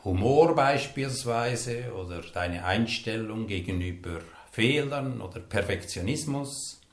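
A man's voice: fairly low pitch, lively intonation, a little slow. Brief: male host, curious and probing, recorded in a roomy, echoey space. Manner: curious, probing follow-up questions. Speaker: interviewer, podcast host. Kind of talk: interview questions.

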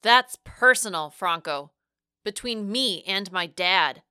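A woman voicing a stubborn, sharp-tongued young woman in love and hurt, in emotional audiobook dialogue. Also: medium pitch, animated, slow pace, clear, resonant tone, no room sound, clean studio sound. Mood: bitter. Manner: emotional, blunt, argumentative.

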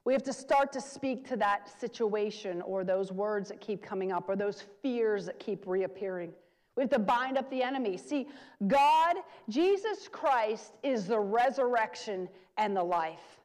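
A woman's voice: mid-range voice, lively intonation, measured pace, a little mellow. A woman preacher giving a sermon, urging her listeners and repeating key phrases for emphasis. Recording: long hall reverb.

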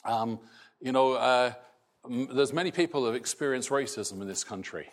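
A middle-aged man raised in Scotland, with a softened Scottish accent, preaching a sermon in a slow, halting way with many restarts. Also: mid-range voice, expressive. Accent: Scottish, largely softened. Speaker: pastor.

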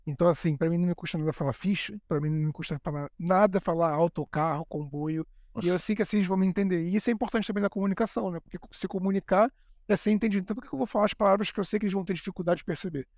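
Fast, higher-voiced Brazilian man chatting. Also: darkish tone, no room sound. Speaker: podcast co-host. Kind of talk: casual chat.

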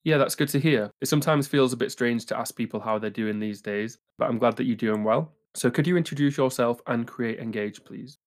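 A man hosting a marketing podcast, speaking at a middling pitch with an even, well-rehearsed delivery. He reads a polished welcome and puts questions to his guest in a steady, conversational way. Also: brisk, hardly any pauses. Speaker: podcast host.

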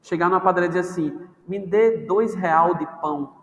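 A man's voice: high voice, a little fast, fairly bright tone. A man, a language teacher from Brazil, chatting casually and animatedly on a podcast, playfully acting out quoted voices. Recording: long reverb, faint background noise.

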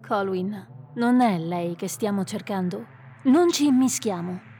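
A woman voicing a calm female companion and healer who leads the group, in an Italian radio drama, speaking in a composed, authoritative way. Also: mid-range voice, measured pace, a little hiss or hum, lightly acted.

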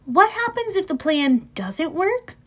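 A woman's voice: extremely high pitch, very animated, measured pace, pitched above the performer's own voice, exaggerated voice. A woman voicing a plucky, earnest teenage girl adventurer with a pointed way of talking, as in an audiobook. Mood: sceptical.

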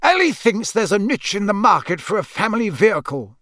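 An elderly, buttoned-up wizard bureaucrat from a storybook, voiced by a man. He sits high above a normal male register and speaks in a dry, formal, impatient clip. The sound is close, with no room on it. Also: big pitch swings, a bit bright, no echo. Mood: dry, disapproving. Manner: brisk, formal, curt. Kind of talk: audiobook character voice.

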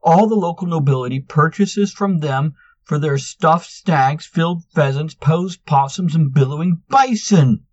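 A man's voice: on the low side, measured pace, darkish tone, no echo, highs cut off. A man voicing the exasperated young Manx. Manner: exasperated, insistent.

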